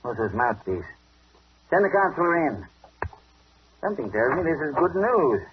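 A man's voice: on the high side, sing-song, normal speed. An actor playing a brusque, commanding police inspector and father in 1940s radio drama style. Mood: satisfied. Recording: dull hissy old radio recording.

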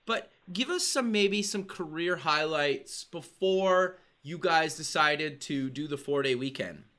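A man in his thirties hosting an interview show, reading a promo and then asking casual, halting questions. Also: a little high, expressive, sharp tone. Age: 30s. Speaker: host. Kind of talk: podcast interview.

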